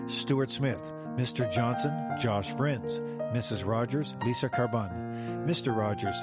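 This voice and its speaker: A man reading a scripted credits announcement for a radio drama. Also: medium pitch. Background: loud plucked guitar music.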